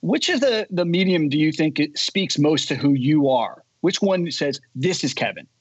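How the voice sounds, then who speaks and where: A man interviewing a guest, asking halting questions that restart as he goes. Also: a little high, animated, husky, dry recording. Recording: dry, muffled sound.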